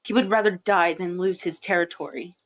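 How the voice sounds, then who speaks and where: A woman's voice: fairly low pitch, fairly even intonation, a little fast, slight background noise, lightly acted. A woman playing a haughty, defensive female cat clan leader in a roleplay, with a sneering manner. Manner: sneering, excuse-making.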